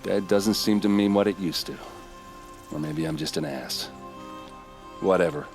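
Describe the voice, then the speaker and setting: Very deep-voiced, blunt warrior. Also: male voice, measured pace, darkish tone, soft background music. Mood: bitter, determined. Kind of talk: video game cutscene acting.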